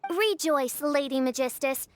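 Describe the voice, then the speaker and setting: A woman's voice: high voice, a little slow, slight background noise. A woman voicing a theatrical, self-styled princess girl in a video game, speaking in a pompous, formal, archaic manner. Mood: grandly regal. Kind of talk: dramatic game character acting.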